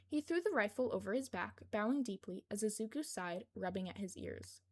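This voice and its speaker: A woman's voice: medium pitch, animated, brisk. A woman reading scripted fiction aloud as an amateur audiobook narrator.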